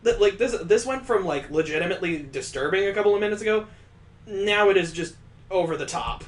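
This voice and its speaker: A man's voice: high voice, animated, a little fast. A man hosting a let's play of a visual novel, commenting with exasperated, sardonic asides. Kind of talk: live game commentary mixed with reading visual novel text aloud. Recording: roomy, echoing sound.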